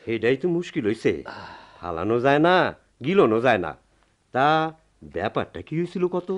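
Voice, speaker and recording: An actor playing a steady, protective village friend in a Bengali radio drama, speaking urgently and expressively in a rural Bangladeshi dialect. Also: male voice, medium pitch, moderate pace, lightly acted. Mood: concerned, weary.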